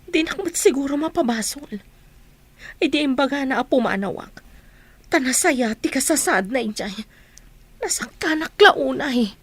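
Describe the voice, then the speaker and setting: A highish young female voice from an actress as a dutiful eldest sister in a radio drama. She sounds hurt and resentful. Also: expressive, normal speed, long pauses, fairly bright tone, dry recording. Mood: reserved, bitter. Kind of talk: Ilocano radio drama acting.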